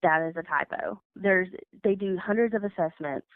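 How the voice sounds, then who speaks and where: A woman's voice: mid-range voice, moderate pace. American woman, official on a call, emphatic and corrective, thin phone-filtered sound with no room echo. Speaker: child services caseworker. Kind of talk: explanatory phone conversation. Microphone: far end of phone call. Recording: tinny, band-limited phone line.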